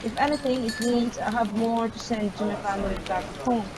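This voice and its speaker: A woman's voice: fairly high pitch, fairly even intonation, a little fast, heavy background noise. A woman reading a visual novel's first-person story aloud, sometimes stumbling over words.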